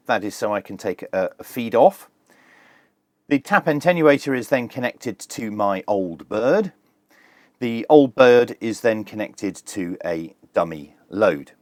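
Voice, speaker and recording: A man's voice: mid-range voice, sing-song, measured pace, no room sound. Man giving a dry, hands-on gadget review. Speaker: product reviewer.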